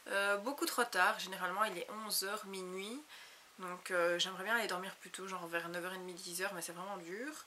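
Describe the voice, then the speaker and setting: A woman in her late twenties chats casually in a vlog, reading each question aloud before answering it. Her voice is bright and lively, set at an average pitch for a woman. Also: a little fast, slight background noise. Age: late 20s. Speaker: YouTube vlogger.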